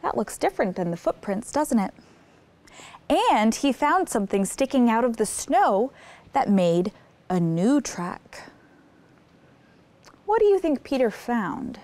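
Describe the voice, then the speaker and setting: A woman's voice: fairly high pitch, darkish tone. A woman hosting story time, reading a picture book aloud expressively and putting simple questions to young listeners. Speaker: children's story time host.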